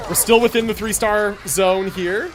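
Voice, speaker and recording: A man livestreaming a video game with casual commentary, thinking out loud in slangy, sweary talk. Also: high-pitched, fairly even intonation, moderate pace, faint music behind. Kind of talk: casual gaming stream commentary.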